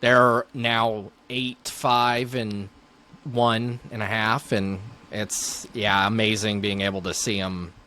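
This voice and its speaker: A man's voice: lowish voice, little pitch movement, takes it slowly, sharp tone. A man in his thirties speaking as a neurologist guest in a casual interview.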